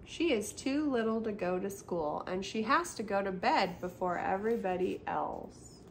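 A middle-aged woman hosting a storytime, reading a children's picture book aloud with expressive, simple storyteller phrasing for young children. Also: mid-range voice, normal speed. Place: small indoor room by a brick wall, seated. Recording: clearly noisy home recording.

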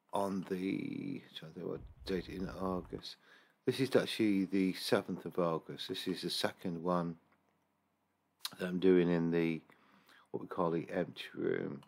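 A man's voice: sits low, gravelly, darkish tone. A man, a paranormal investigator, rambling with many fillers and restarts as he reviews security camera footage at his computer. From England. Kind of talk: casual screen-recorded review commentary.

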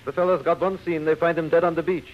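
A man's voice: fairly high pitch, fairly even intonation, fast talker, natural voice. Blunt theatre producer played by a man, muffled. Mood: dry, dismissive. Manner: dry, blunt. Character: curt, unimpressed male theatre producer. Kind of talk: 1930s radio acting. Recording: muffled noisy 1930s radio broadcast.

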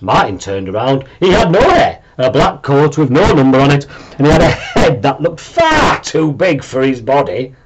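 A man around his forties narrating a children's story in an animated, deliberate way. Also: medium pitch, noisy background. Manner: expressive, deliberate. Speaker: storybook reader. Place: small room at home, indoors.

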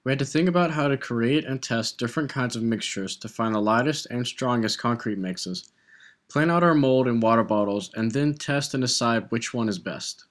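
A man's voice: medium pitch, moderate pace. Young man narrating a class project. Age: young adult. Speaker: engineering student.

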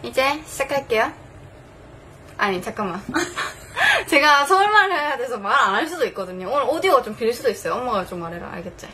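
Young woman, Busan accent, chatty makeup vlogger, fairly high voice, faint room hum. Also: sing-song, brisk, pushed and bright. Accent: Busan Korean. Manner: chatty, laughs often, frustrated bursts. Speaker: beauty vlogger. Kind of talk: casual makeup chat. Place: bedroom, indoors.

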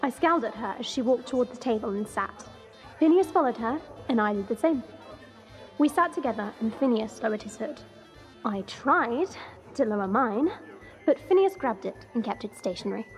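A woman playing a haughty, irritable dragon princess who narrates her own story in a measured, scornful way. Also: fairly high pitch, animated, lightly acted, rough recording. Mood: brooding. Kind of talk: first-person story narration. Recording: music bed under the voice.

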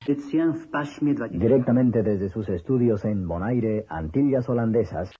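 A man's voice: fairly low pitch, animated, a little slow, slight background noise. A man reading a scripted station identification as a radio announcer. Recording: muffled archival shortwave radio recording.

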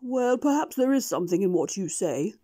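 A woman's voice: medium pitch, very animated, fairly quick, warm tone. A woman voicing a kind, absent-minded older male doctor, speaking mildly and hesitantly. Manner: mild, hesitant, mumbling.

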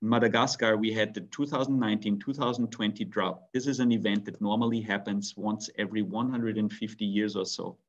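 A man's voice: on the low side, steady tone, a little fast, hardly any pauses. A man in his forties from Austria, a native German speaker, speaking on a webinar video call with many restarts and fillers. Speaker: guest speaker. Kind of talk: webinar talk. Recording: dull lo-fi video call audio.

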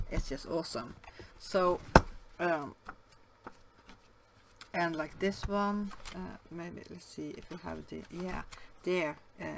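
Slow, hesitant woman with a Norwegian accent. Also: lowish voice, slight background noise. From Norway. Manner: hesitant, many fillers and restarts. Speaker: yarn vlogger. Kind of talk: casual show-and-tell vlog. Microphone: camera above the table, hands only.

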